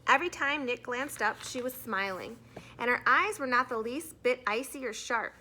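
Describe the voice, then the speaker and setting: A woman's voice: fairly high pitch, normal speed, bright, forceful tone. A woman narrating a children's storybook as a teacher reading aloud to a class.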